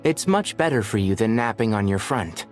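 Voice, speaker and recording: An actor playing a no-nonsense but caring young male mentor in a video game, crisp and measured, given to lecturing. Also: on the low side, expressive, faint music behind, natural voice. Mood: caring, instructive. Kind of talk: video game cutscene acting.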